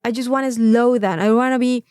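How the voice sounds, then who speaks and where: A woman's voice: on the high side, fairly even intonation, fairly quick, darkish tone, clean tone, dry recording, clean studio sound. A woman with a Mexican accent and Spanish as her first language, chatting casually as a podcast co-host. She is effusive and repeats words for emphasis. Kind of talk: casual chat, anecdotes.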